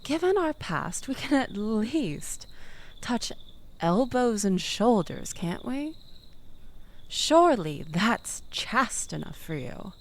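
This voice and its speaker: A woman's voice: on the high side, sing-song, slow, heavily acted. A woman playing a manipulative, flirtatious ex-lover in an intimate audio roleplay, languid and teasing, coaxing the listener with theatrical pleading.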